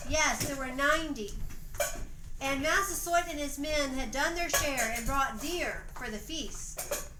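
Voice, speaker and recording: A high-pitched woman narrates a family pageant at an unhurried, deliberate pace. She reads plainly for young listeners and slips into casual stage directions. The recording is an echoey amateur home video with clear background noise. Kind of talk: read-aloud storytelling with casual stage directions.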